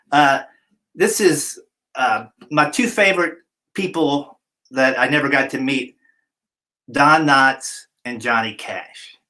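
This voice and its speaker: A man's voice: mid-range voice, takes it slowly, long pauses, bright, forceful tone, poor audio. A man, a comedian and musical guest, joking about his song and then singing a comic duet, trading between two voices. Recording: music clearly behind.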